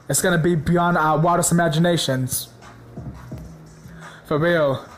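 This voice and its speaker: A man preaching in a casual, slangy way, hoarse from a sore throat, excited and repeating words for emphasis. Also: on the high side. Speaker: religious video host.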